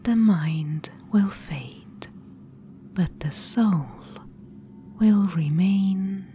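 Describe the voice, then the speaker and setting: A woman voicing a sinister, patient spider-woman guide in a breathy, deliberate whisper for a fantasy ASMR roleplay. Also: mid-range voice, slight background noise. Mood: quietly gloating. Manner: slow, hushed, deliberate. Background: music.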